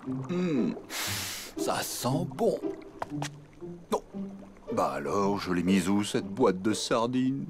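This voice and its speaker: A man's voice: fairly low pitch, very animated, slow pace, pauses often. A man voicing a cheery, fussing middle-aged elephant dad in a children's cartoon, theatrical and given to muttering to himself. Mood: musing, muttering. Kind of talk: animated children's cartoon acting. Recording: music behind the voice.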